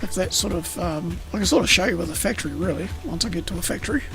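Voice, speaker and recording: A man streaming a factory-building game, explaining it in a rambling way with a slightly croaky voice. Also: high-pitched, fast talker. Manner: rambling, self-correcting, explanatory. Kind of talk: casual gameplay explanation. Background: game music.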